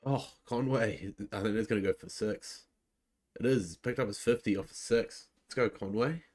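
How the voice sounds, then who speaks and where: A man's voice: fairly low pitch, normal speed, a little mellow. A young man from New Zealand with a New Zealand accent, chatting casually to viewers while reacting live to a cricket match. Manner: laid-back, chatty, restarts often. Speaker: live stream host.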